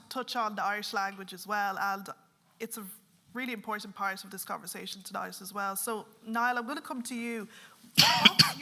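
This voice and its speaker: A woman's voice: mid-range voice, sharp tone. A woman chairing a panel discussion, putting direct questions to the speakers. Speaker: moderator.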